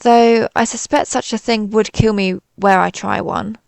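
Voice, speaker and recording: A woman's voice: mid-range voice, steady tone, unhurried, gravelly, a little mellow, clear, resonant tone, no room sound. A woman playing a timid, suspicious alien pizza slice who rambles hesitantly and puts herself down in a scripted audio roleplay. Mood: curious, pleased. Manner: hesitant, rambling, self-deprecating.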